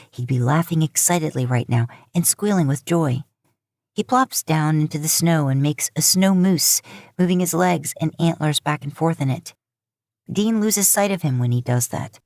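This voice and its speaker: A woman's voice: lowish voice, darkish tone, no echo, studio quality. A woman reading scripted fiction aloud as an audiobook-style narrator. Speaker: podfic narrator.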